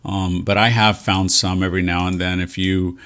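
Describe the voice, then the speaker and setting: Low-pitched American man, folksy and rambling. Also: normal speed. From San Antonio, Texas, USA. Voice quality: monotone. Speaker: vlog host and real estate agent. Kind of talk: casual reminiscing walk-and-talk vlog.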